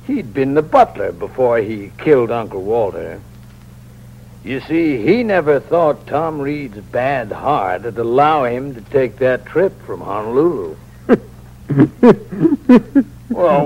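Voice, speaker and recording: An actor playing a folksy older sheriff who needles wryly, in an old-time radio crime drama. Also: male voice, mid-range voice, big pitch swings, slow, darkish tone, slight background noise. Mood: explaining, amused. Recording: dull vintage radio recording.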